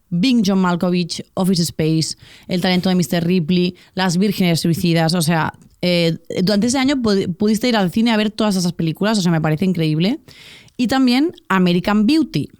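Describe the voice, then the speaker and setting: A woman's voice: lowish voice, normal speed, a little mellow, clean tone, no room sound, clean studio sound. A woman hosting a podcast, delivering a scripted cultural commentary in an essay-like way with the odd filler. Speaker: podcast host.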